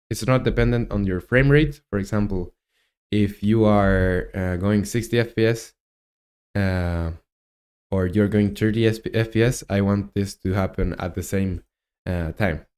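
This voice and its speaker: Slow, smooth-voiced Chilean man thinking aloud. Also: on the low side, darkish tone. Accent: Chilean Spanish. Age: 20s. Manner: halting, thinking aloud with many fillers. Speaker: game developer streamer. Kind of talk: live coding stream commentary.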